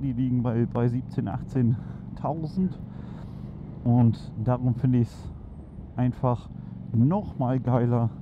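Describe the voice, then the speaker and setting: Chatty man riding. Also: mid-range voice. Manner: chatty, enthusiastic, many fillers. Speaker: motovlog host. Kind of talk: casual chat while riding. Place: on a motorcycle in city traffic outdoors. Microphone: helmet headset. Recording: muffled helmet mic with loud wind and traffic noise. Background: engine, wind and traffic.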